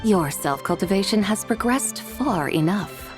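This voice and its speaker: A woman playing a courteous, wise and ancient mystical lady in a video game, speaking with measured formality. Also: mid-range voice, animated, over music, lightly acted. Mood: solemn, knowing. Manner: formal, measured, archaic politeness. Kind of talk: video game character acting.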